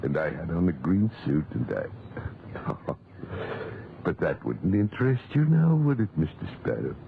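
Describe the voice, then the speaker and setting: An actor playing a kindly, talkative old English gentleman gardener who mutters to himself, in a 1940s radio drama. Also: deep voice, sing-song, measured pace, gravelly, full character voice. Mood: genial, musing. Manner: rambling, muttering to himself and to things. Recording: muffled noisy 1940s radio recording.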